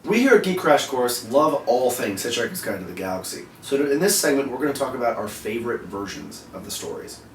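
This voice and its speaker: A man's voice: medium pitch, sing-song, brisk, hardly any pauses. A man co-hosting a geek culture explainer, presenting partly scripted material in a casual way. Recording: roomy, distant mic, faint background noise.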